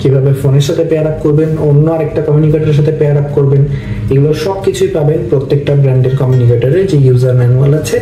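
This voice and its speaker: A man's voice: fairly high pitch, warm tone. A man with a Bangladeshi accent giving an explanatory review as a vlog host, mixing English phrases into his speech. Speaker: motovlog host and motorcycle rider. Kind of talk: explanatory product review talk to camera. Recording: echoey room, distant mic, music underneath. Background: background music.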